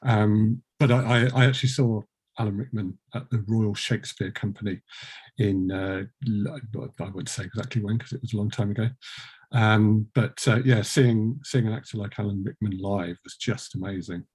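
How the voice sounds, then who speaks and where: A man's voice: on the low side, fairly even intonation, normal speed, darkish tone, slightly rough. A man hosting a casual panel interview, hesitant and rambling with a joking streak. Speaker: host.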